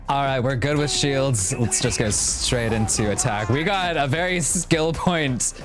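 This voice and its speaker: A game streamer giving casual live commentary with playful reactions and exclamations. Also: mid-range voice. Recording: game music behind the voice. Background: video game music and sounds.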